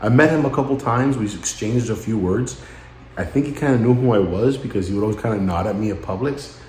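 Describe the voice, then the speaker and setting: A man in his late thirties speaking in a halting, somber and introspective way, as in a personal vlog monologue. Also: lowish voice, darkish tone, roomy sound, a little hiss or hum. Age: late 30s. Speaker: vlogger.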